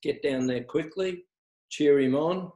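A man's voice: on the high side, a little slow, mellow. An older man from Australia, a tennis coach, animatedly reminiscing in conversation with frequent laughs. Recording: echoey room.

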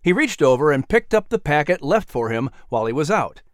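A man's voice: fairly high pitch, big pitch swings, brisk, no room sound, clean studio sound. A man narrating a children's story from a script as the storyteller.

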